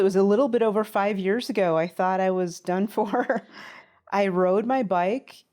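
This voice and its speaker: A woman's voice: on the low side, darkish tone. A woman guest on a talk show, telling a personal story in an interview.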